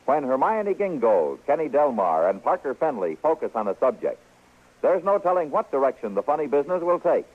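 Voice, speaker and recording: A male announcer reads in a dramatic old-time radio style. His voice is husky and very animated in pitch. The broadcast recording is thin and tinny. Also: brisk. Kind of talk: dramatic mid-century scripted radio announcing. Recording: tinny, band-limited vintage radio broadcast.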